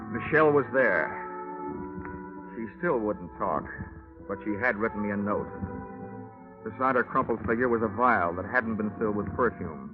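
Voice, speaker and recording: An American actor playing a wisecracking, hard-boiled insurance investigator in an old radio drama, wry, slangy and deadpan. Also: male voice, medium pitch, close to an everyday voice. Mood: tense narrating. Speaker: lead actor and narrator. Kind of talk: old-time radio detective acting. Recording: muffled old radio recording, music behind.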